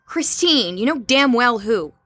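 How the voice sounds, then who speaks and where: A woman's voice: a little high, expressive, unhurried, a bit bright, dry recording. A woman playing a worried, determined young roommate in an audio drama, direct and insistent. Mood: confrontational. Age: young adult.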